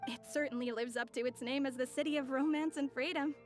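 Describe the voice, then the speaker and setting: A woman's voice: high voice, fairly even intonation, fast talker, lightly acted. A woman playing a polite, friendly young female traveler in a video game, courteous and well-spoken. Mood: earnest, courteous. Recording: music behind the voice.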